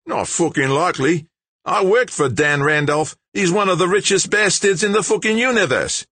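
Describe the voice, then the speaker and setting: A man's voice: sits high, moderate pace, bright, forceful tone, no room sound, pitched above the performer's own voice. Man voicing a huge, growling, short-fused brute. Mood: incredulous, angry. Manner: profane, snarling, belligerent.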